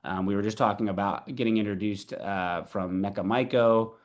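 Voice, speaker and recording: A man's voice: lowish voice, fairly even intonation, normal speed. A man hosting a music interview show, enthusiastic and casual, with many ums and you-knows. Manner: enthusiastic, lots of fillers like um and you know. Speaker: radio show host.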